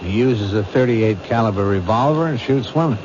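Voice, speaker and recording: An actor playing a world-weary police captain in a 1970s radio drama, brusque, cynical and matter-of-fact. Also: male voice, on the low side, lively intonation, fairly quick, a crowd in the background, natural voice. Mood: dismissive. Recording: muffled, noisy old radio broadcast.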